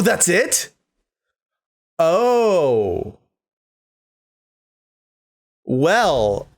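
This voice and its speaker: A man hosting a casual let's-play, reacting out loud to the game and chatting to his viewers. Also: a little high. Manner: reactive, interjecting, talking to viewers. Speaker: gaming YouTuber host. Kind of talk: casual let's-play commentary, reading game text aloud.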